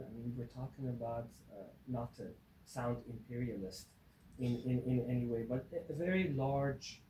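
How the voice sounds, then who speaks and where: A man's voice: medium pitch, mellow, slight background noise. Forty-year-old man, wry, echoey room. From Iran. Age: 40s. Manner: wry, joking, many fillers and restarts. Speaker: guest, professor. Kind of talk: interview conversation.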